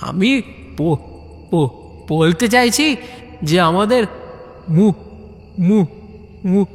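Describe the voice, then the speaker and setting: A man's voice: high-pitched, animated, drawn-out words, full character voice. A man voicing an insistent, easily annoyed club lad with a heavy stammer in a comic audio story. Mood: frustrated. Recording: long reverb, music behind.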